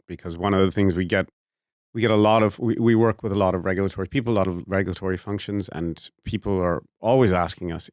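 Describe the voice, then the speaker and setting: A man's voice: sits low, darkish tone, dry recording, studio quality. A man hosting an interview podcast, asking casual, probing questions. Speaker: podcast host.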